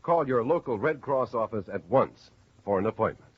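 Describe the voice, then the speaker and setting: A man reading scripted 1950s network radio announcements in an earnest, measured and formal way. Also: mid-range voice, expressive, slight background noise. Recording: dull old radio recording with music.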